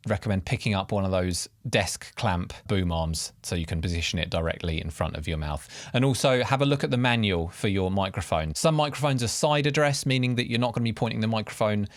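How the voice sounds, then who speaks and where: A man hosting an instructional video, explaining step by step in a clear way, recorded close to the mic. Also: fairly low pitch, brisk, few pauses, no echo. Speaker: tutorial host. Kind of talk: instructional video narration to camera. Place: quiet treated home studio with acoustic panels.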